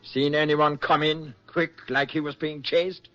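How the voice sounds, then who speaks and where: An actor playing a brusque, sneering German wartime officer in a 1940s radio drama, curt and leering. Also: male voice, fairly high pitch, flat delivery, unhurried, bright, forceful tone. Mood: leering, mocking. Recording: muffled vintage radio with music bed.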